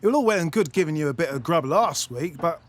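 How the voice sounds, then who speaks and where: A smug working-class patriot, acted by a man in a satirical audio play. He is colloquial and breezily dismissive, with a high, bouncy voice. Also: lively intonation, fast talker, a little hiss or hum, close to an everyday voice. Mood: smugly cheerful.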